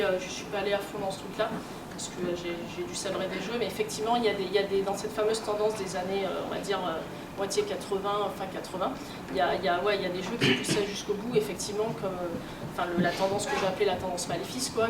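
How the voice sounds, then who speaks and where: Woman, conference speaker, hesitant and conversational, mid pitch, recorded far off in a noisy room. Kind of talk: informal lecture to an audience. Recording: echoey room, distant mic, clear noise.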